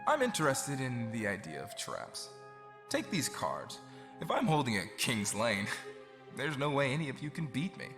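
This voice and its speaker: A man plays a smug young cadet in an audio comic, needling and cocky. His medium-pitched voice swings expressively over clearly audible music. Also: wildly swooping pitch, moderate pace. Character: smug, challenging young male military cadet. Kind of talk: scripted audio comic acting.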